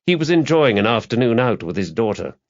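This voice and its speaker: A man's voice: medium pitch, sing-song, fairly quick, lo-fi sound. A man reading crime fiction as dramatic scripted audiobook narration.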